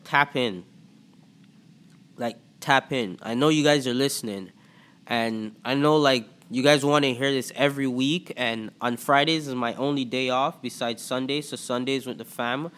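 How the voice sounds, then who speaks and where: A man with a Toronto accent hosting a podcast as a coach, talking loosely with lots of fillers and pauses. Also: medium pitch, steady tone, a bit bright, clean tone. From Antigua and Barbuda. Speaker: podcast host and life coach. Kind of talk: casual podcast talk.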